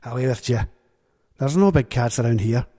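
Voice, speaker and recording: Smug old Irish gossip, husky and gravelly, played by the narrator. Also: male voice, medium pitch, moderate pace, warm tone, big-room sound, lightly acted. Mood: gruff.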